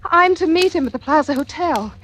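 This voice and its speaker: An actress playing a frightened but brave young heroine in a 1940s radio drama, earnest and dramatic. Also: female voice, high voice, lively intonation, brisk, a bit bright. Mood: anxious resolve. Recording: noisy vintage radio recording with clicks.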